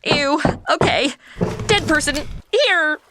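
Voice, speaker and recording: A woman's voice: high-pitched, lively intonation, slow pace, very noisy. An actress playing a flippant, squeamish, vain young woman tourist in a comedy audio drama, bubbly and sarcastic.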